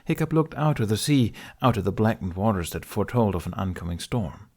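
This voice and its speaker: A man's voice: lowish voice, expressive, a little fast, darkish tone. A man reading scripted story narration as an audiobook narrator.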